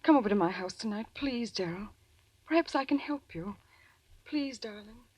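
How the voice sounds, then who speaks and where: An actress playing a gentle, anxious, devoted sweetheart, breathy and tenderly urgent, in a 1940s radio drama. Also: female voice, mid-range voice, expressive, moderate pace, dry recording. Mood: pleading. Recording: dry vintage radio drama recording.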